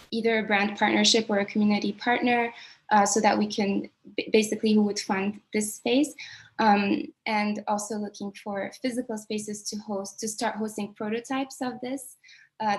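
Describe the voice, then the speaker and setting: A woman from Lebanon, a native Arabic speaker, pitching her project over a video call with many pauses and fillers. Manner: earnest, hesitant with many fillers. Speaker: presenter.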